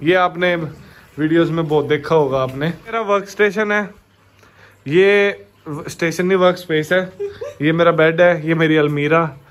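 A man's voice: a little high, fairly even intonation, moderate pace. A young man giving a casual, chatty house tour vlog, walking from room to room and talking to his viewers like friends. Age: young adult. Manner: chatty, addresses viewers as "bhai". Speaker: vlogger. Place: indoors in a family home, walking room to room. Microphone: handheld action camera.

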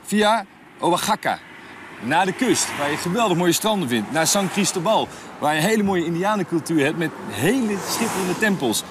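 A man in his thirties hosting a travel show outdoors, talking to camera in a relaxed, enthusiastic and chatty way. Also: high voice, moderate pace, noisy background. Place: outdoors on a mountain road and in a tent. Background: background music.

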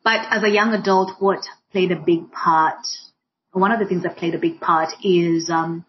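A woman from Kenya, a diversity and inclusion practitioner speaking thoughtfully on a panel, pausing often with fillers. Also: lowish voice, fairly even intonation, slight background noise. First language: Swahili. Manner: thoughtful, many fillers and restarts. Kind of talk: reflective panel conversation. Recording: dull lo-fi audio.

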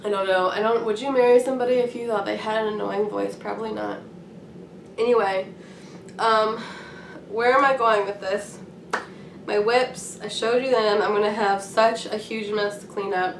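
A young woman with a cold-roughened, hoarse voice rambles along in a casual chat, filling pauses with ums. She sits some way back from the camera in a small room at home, so her voice sounds distant and roomy. A faint hiss runs under her words. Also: mid-range voice, fairly even intonation. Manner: rambling and chatty, many ums. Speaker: craft vlogger.

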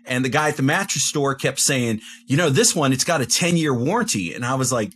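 A man's voice: mid-range voice, animated, a little fast, fairly bright tone, a little hiss or hum. A middle-aged man, a professor and guest, chatting with dry humor over a video call into a studio mic in a home office. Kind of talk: casual chat.

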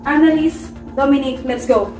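High-voiced protective eldest sister played by a woman. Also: normal speed, darkish tone, natural voice. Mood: firm. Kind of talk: amateur one-act melodrama acting. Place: living room, far camera mic. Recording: echoey room, distant mic, faint music.

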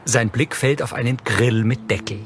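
A man reading scripted audio description for a TV crime drama, matter-of-fact and clearly articulated. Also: medium pitch, normal speed, noisy background. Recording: TV soundtrack playing underneath. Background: loud music and vehicle sounds.

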